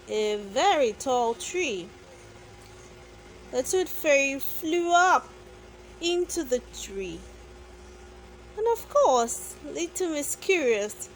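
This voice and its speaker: A woman narrating a children's bedtime story with deliberate phrasing suited to very young listeners. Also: sits high, a little hiss or hum, rough recording. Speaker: children's book reader.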